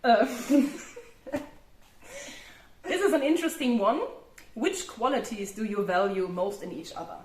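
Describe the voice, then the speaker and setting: A woman's voice: mid-range voice, big pitch swings, a little slow, long pauses, darkish tone, slight background noise. German-accented woman in an echoey room. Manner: laughs often, restarts, loose and chatty. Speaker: host. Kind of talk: casual chat.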